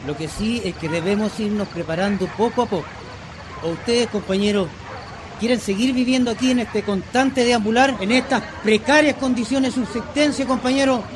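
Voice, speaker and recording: A man's voice: high-pitched, moderate pace, natural voice. A man playing an earnest Chilean community leader in a radio drama, exhorting and pleading like an orator at an assembly. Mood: rallying. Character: earnest male community leader of poor shantytown dwellers. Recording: noisy recording with crowd clamour behind.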